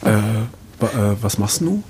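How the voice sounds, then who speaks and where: An actor playing a jittery but protective husband in a naturalistic audio drama, stammering and colloquial, with a breathy, raspy voice. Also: male voice, on the low side, very animated, normal speed, a little mellow, a little hiss or hum. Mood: nervous, amused.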